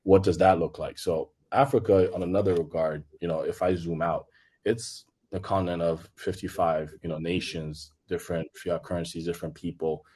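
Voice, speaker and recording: A man's voice: deep voice, steady tone, moderate pace, warm tone, husky. A man from Congo, a native French speaker, talking loosely on a conference panel with many fillers. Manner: loose and filler-heavy, leaning on "you know". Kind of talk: casual panel discussion.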